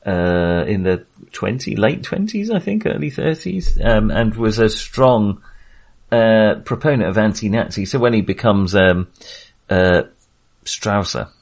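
Low-pitched man talking film, searching for words. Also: expressive, measured pace, creaky. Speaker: film podcast host. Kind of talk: casual film discussion.

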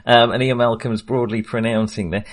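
A man's voice: mid-range voice, level tone, moderate pace. A man co-hosting a casual film discussion in a conversational, hedging manner. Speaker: film podcast co-host.

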